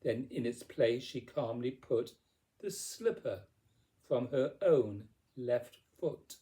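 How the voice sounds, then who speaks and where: A man reads storybook verse in a theatrical narrating style. His tone is soft-edged and mellow, and he takes his time with deliberate phrasing. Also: medium pitch, lively intonation. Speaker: poetry reader.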